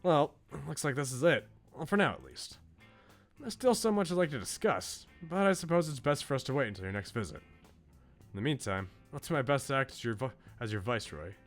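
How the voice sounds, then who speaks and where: A man voicing an earnest, bookish researcher from a visual novel in a measured, formal, serious way. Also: medium pitch, sing-song, soft background music, voice pushed up, natural voice. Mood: cordial.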